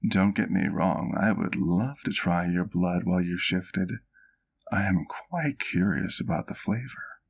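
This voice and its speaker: A man's voice: deep voice, creaky, exaggerated voice. A man playing a courtly, doting vampire doctor in an ASMR roleplay, speaking intimately to the listener in formal, precise words. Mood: measured, curious. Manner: formal, precise, measured wording.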